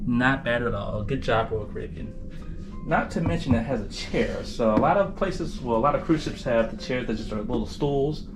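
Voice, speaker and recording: A man's voice: medium pitch, lively intonation, moderate pace, music in the background, poor audio. A tired man giving a casual, informal tour as a travel vlogger, addressing viewers in a folksy way. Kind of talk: casual cruise ship tour commentary.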